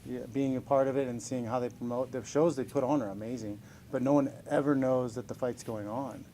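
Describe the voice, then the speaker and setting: A man's voice: mid-range voice, fairly even intonation, fairly quick, mellow, a little hiss or hum. Upbeat chuckling man in his forties. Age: 40s. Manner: upbeat, chuckling, self-deprecating. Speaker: professional fighter being interviewed. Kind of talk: casual press scrum answers.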